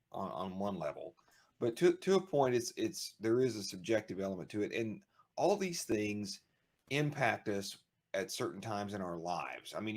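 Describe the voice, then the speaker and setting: Animated low-voiced man rambling. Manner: rambling, animated, frequent restarts and laughs. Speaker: podcast co-host. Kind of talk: casual chat.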